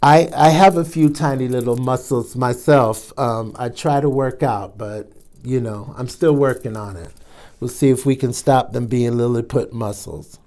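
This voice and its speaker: A man's voice: medium pitch, moderate pace, a little mellow. A man hosting a children's storytime, reading a picture book aloud with playful asides to young listeners. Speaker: storytime host.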